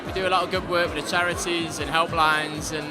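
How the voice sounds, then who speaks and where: A man with a slightly high voice answers questions casually at a lively outdoor event. His talk is relaxed and easygoing, with music and crowd noise filling the background. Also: brisk. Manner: relaxed, chatty, fills with "um". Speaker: interviewed guest. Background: music and crowd chatter.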